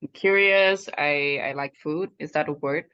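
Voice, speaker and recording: A speaker from Vietnam talks slowly and tentatively, stopping and restarting often. The voice comes through a muffled video call line. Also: medium pitch, bright, forceful tone, clean tone. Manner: hesitant, many fillers and restarts. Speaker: workshop participant. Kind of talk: casual self-introduction. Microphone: video call, camera off.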